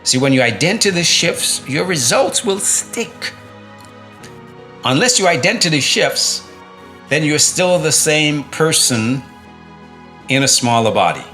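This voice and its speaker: Man, middle-aged, fitness coach, emphatic motivational delivery, bright ringing tone, faint music underneath. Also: fairly high pitch, lively intonation, a little slow, pauses often. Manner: emphatic, repeats key phrases, rhetorical questions. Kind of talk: motivational talk to camera.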